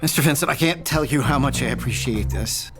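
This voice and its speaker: A man's voice: medium pitch, a little fast, over music, natural voice. A man playing an earnest, frantic teenage boy in a dramatic table read, urgent, blunt and insistent. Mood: anxious, insistent.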